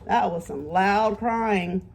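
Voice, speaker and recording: A woman teacher giving an animated read-aloud lesson to young children, asking simple questions and encouraging them. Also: mid-range voice, slow pace, creaky, breathy edge.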